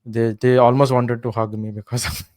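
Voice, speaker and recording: A young man from India, a podcast guest, telling travel stories in relaxed casual chat with many fillers. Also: medium pitch, normal speed, dry recording.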